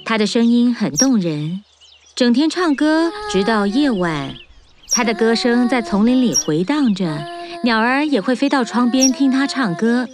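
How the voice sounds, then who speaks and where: A woman's voice: a little high, expressive, unhurried. A woman reading scripted fairy-tale narration for children as a storyteller. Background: music.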